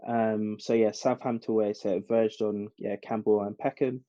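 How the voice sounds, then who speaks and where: Casting: dry, slightly muffled recording of a male entrepreneur guest, London accent, mellow voice, conversational. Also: on the low side, steady tone, normal speed, dry recording. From South London, England. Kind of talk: casual interview answers. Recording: dull, dry recording with music.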